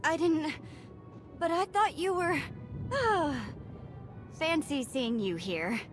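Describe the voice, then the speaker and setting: High-voiced, gleefully mischievous woman. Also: sing-song, takes it slowly, soft background music, heavily acted. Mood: playful. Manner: theatrical, teasing, playful. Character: gleeful, mischievous female demolitions prankster. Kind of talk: video game cutscene acting.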